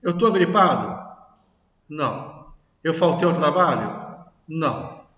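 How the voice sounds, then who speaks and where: High-voiced man lecturing in an echoey classroom. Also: slow. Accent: Rio de Janeiro Portuguese. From Brazil. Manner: lively, asks rhetorical questions and answers them himself. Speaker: teacher. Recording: echoey classroom, distant dull mic.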